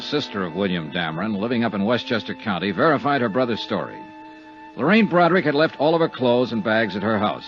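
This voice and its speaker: An actor playing a wry, world-weary insurance investigator who narrates a 1950s radio drama in a sardonic, clipped way. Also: male voice, medium pitch, close to an everyday voice. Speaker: lead and narrator. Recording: dull 1950s radio recording with music.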